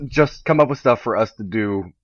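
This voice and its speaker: Man, lowish voice, easygoing, joshing, dry close sound. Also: expressive, moderate pace, no echo, lo-fi sound. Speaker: podcast co-host. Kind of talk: casual group chat.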